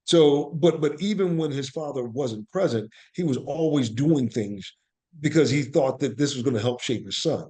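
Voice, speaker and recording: A man's voice: mid-range voice, moderate pace, dark tone. Man giving earnest, emphatic interview answers. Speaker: interview guest, actor and playwright. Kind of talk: reflective conversational interview answers.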